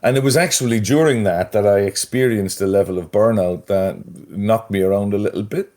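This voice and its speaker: A man's voice: lowish voice, a little fast, a little mellow. A middle-aged Irish man talking as a podcast guest, thoughtful and a little rambling. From Ireland. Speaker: guest, mental health strategist. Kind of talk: conversational interview.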